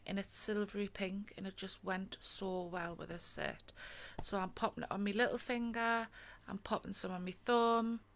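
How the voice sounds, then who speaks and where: A woman talking through a home nail tutorial in a casual voice-over, husky and half-whispering, as she is coming down with a cold and losing her voice. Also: medium pitch, a bit bright. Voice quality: gravelly, often slips into whisper.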